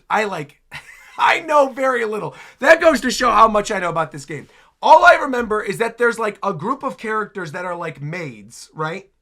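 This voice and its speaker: A man giving casual, excitable reaction commentary as a streamer, with slangy exclamations. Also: sits high. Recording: trailer music behind the voice.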